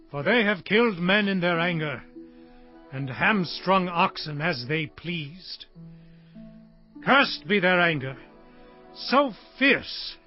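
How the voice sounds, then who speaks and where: An actor playing a frail aged Hebrew patriarch in a dramatized scripture reading, solemnly and deliberately pronouncing blessings and judgments. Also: male voice, high voice. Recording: muffled lo-fi audio under music.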